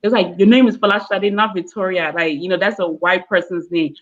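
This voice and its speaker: A woman's voice: fairly low pitch, fairly even intonation, brisk. A young woman with a Nigerian-American accent, talking casually as a panel guest, rambling with many fillers and restarts. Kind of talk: casual discussion. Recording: dull lo-fi sound.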